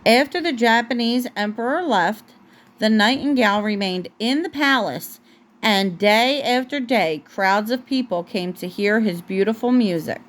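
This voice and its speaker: A woman with a fairly high voice reads a children's storybook aloud at home. She is a mother reading to her young child, and her reading halts as she breaks off for asides and corrections. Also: unhurried.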